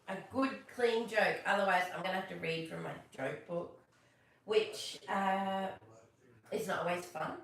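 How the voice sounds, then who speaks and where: A woman hosting a casual live storytime, chatting and reading aloud in a playful, expressive manner for children. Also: mid-range voice, slight background noise. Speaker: live stream storytelling host. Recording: very echoey room, distant from mic.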